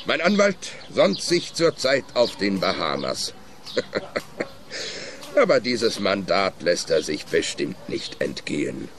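A deep-voiced, arrogant rich magnate. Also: male voice, big pitch swings, slow pace, a bit bright, a little hiss or hum, full character voice. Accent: Swiss German. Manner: cold, arrogant, self-assured. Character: arrogant wealthy older wine magnate.

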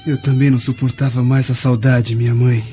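An actor with a Brazilian accent playing a devoted, hopeful Roman soldier in a radio drama, tender and formal. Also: male voice, mid-range voice, level tone, fairly quick, warm tone, lightly acted. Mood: tender, reassuring. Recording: dull lo-fi audio over faint music.

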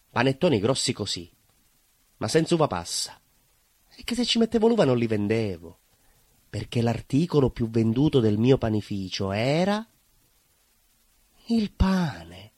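An actor playing a simple, proud working-class baker in a stage monologue, earnest and conversational, with a southern Italian accent. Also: male voice, medium pitch, a little mellow, breathy edge, no echo. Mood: animated, earnest. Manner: naive, earnest, emphatic, conversational. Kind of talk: theatrical monologue, colloquial storytelling.

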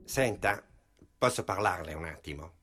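A man's voice: sits low, lively intonation, normal speed, fairly bright tone, faint music behind. An actor playing a pompous, self-important male doctor in a radio drama, speaking in a formal, wordy way. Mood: apologetic, florid. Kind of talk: Italian radio drama acting.

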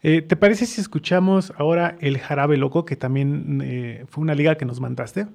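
Man hosting radio, creaky voice. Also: fairly high pitch, moderate pace, darkish tone. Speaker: radio host. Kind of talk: casual interview.

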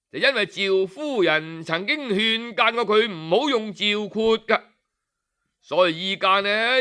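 A man's voice: bright, forceful tone, clean tone. A man with a Cantonese accent telling a historical tale in traditional storytelling style, dramatic and sighing between phrases. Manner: dramatic, sighing, shifts pitch for quoted figures.

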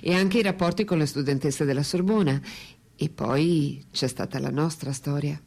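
A woman's voice: deep voice, moderate pace, creaky, a little mellow. An actress playing a frank, wry woman intellectual questioning her longtime lover in a radio drama. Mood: frank, probing.

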